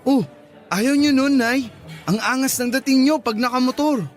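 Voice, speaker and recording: A man's voice: extremely high pitch, normal speed, faint music behind. A man playing a cheeky, devoted young son and boyfriend in a radio drama, casual and playful. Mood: teasing.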